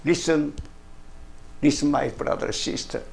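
An older man with a Korean first language preaching a sermon to camera, slow and emphatic, often calling out hallelujah, in broken English. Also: a little high, fairly even intonation, creaky, slight background noise. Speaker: pastor. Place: plain studio room, indoors.

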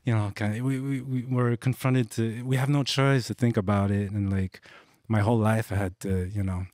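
Guy, low voice, rambles n restarts, dry sound. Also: fairly even intonation, normal speed, a little mellow, dry recording. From Canada. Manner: rambling, restarting phrases with fillers. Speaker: band member, interview guest. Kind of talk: casual interview chat.

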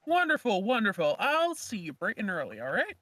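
A woman's voice: medium pitch, huge pitch swings, brisk, fairly bright tone, no echo. A woman playing an easygoing, offhand dragon slayer mentor in a game roleplay, musing casually. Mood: easygoing, cheerful. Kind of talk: amateur roleplay acting in a game video.

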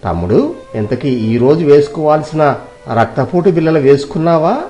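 A man's voice: on the high side, lively intonation, normal speed, warm tone, soft background music, close to an everyday voice. A man voicing a wheedling, fussing elder brother, an older village man in a dramatized moral story. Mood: coaxing, solicitous.

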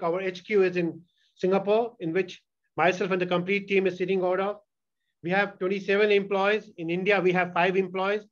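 A man giving a keynote presentation over a video call, walking through his slides in a steady, explanatory way. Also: high-pitched, highs cut off. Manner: steady, explanatory slide walkthrough with occasional restarts. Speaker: keynote speaker, sales executive. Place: indoor room, on video call. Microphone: video call webcam mic.

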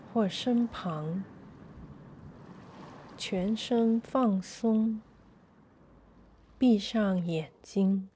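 A woman narrating a guided sleep meditation in a soothing, measured way, in short phrases with pauses. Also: mid-range voice, dark tone, slight background noise.

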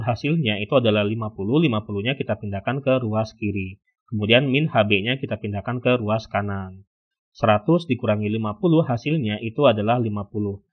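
A man tutoring, patiently walking through a physics problem and filling pauses with "ya" and "oke". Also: fairly low pitch, breathy edge. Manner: steady, patient, with frequent "ya" and "oke" fillers. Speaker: online tutor. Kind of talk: explaining a physics problem on a whiteboard. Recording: lo-fi phone-like recording.

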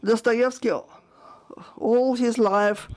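A woman interpreting a panel discussion live, halting and searching for words with frequent restarts. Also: fairly high pitch, flat delivery, a little mellow, poor audio.

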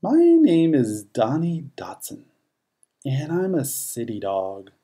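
A man reading a rhyming children's picture book aloud in an expressive, measured storytelling manner. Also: a little high, slightly rough.

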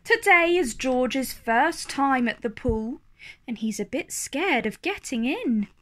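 A high-pitched woman reads a children's story aloud. Her delivery is slow and very animated, with careful wording for young listeners. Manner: expressive, clearly enunciated for young children.

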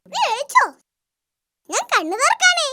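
A woman's voice: very high voice, huge pitch swings, a little slow, heavily acted. Chirpy little girl Meenu. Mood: playful. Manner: chirpy, eager child voice. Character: cheerful little girl Meenu, playful and curious. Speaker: child character. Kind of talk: dubbed children's cartoon.

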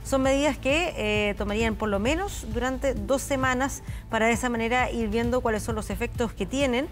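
A Chilean woman anchoring live news, speaking in a measured way with frequent hesitation fillers. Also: on the high side, faint music behind. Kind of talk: live studio news commentary.

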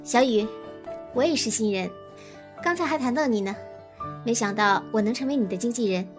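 A woman's voice: on the high side, brisk, over music, close to an everyday voice. An actress in a Mandarin audio drama playing a bubbly, gushing young female talent agent. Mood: chirpy.

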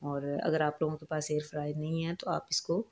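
A woman hosting a home cooking channel, calmly explaining each step of a recipe in a voice-over. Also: low voice, fairly quick. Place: home kitchen.

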